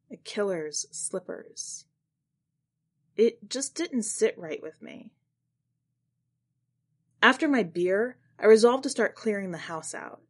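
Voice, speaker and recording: A woman reads a literary short story in the first person. She has a mid-pitched voice and delivers scripted narration as the reader for a fiction podcast.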